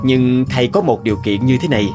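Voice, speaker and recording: A man playing a calm, fair schoolteacher in a cartoon, measured and instructive. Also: mid-range voice, natural voice. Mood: calm, firm. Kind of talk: cartoon dubbing. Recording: clear music behind.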